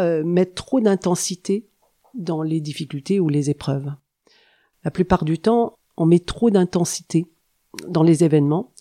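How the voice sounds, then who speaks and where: A middle-aged woman, a practitioner of traditional Chinese medicine, explaining things in a calm, teacherly way with thoughtful pauses. She is slightly congested from a cold. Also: on the low side. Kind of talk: explanatory interview talk.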